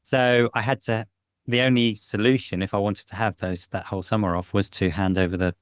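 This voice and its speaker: A middle-aged man from Britain tells a family story in a relaxed, chatty way, laughing now and then. His voice sits on the low side and sounds close and dry, with no room around it. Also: brisk, smooth, dry recording. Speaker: podcast guest. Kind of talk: casual interview storytelling. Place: home, lives in Spain.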